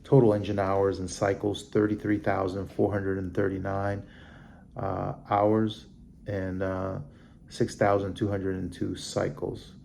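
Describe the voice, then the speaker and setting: Man, lowish pitch, creaky voice, halting report reading, informal asides, background noise. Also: little pitch movement, mellow. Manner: halting, stumbling over names and terms. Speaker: vlog host. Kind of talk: reading a report aloud with off-the-cuff comments.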